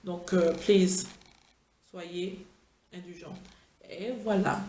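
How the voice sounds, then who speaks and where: A woman's voice: fairly low pitch, fairly even intonation, drawn-out words, dark tone, slight background noise. Chatty, tired woman in an echoey room. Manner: chatty, playful, gushing with enthusiasm. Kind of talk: casual product-review vlog.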